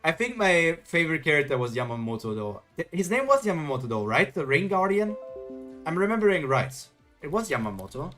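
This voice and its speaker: A man's voice: a little high, very animated, brisk, a bit bright, faint music behind. Chatty streamer man. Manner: chatty, self-questioning, reads chat aloud. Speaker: webtoon reaction streamer. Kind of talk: live reading reaction.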